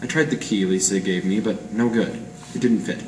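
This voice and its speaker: In an echoey room with faint noise, a hard-boiled 1940s radio detective, acted by a man, speaks in a lowish voice. He is clipped, wry and deadpan, with the slang of a noir gumshoe. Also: moderate pace, recorded from a distance, close to an everyday voice. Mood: grim narrating. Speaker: amateur stage actor. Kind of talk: 1940s radio noir acting with first-person narration. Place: live presentation in a roomy gallery.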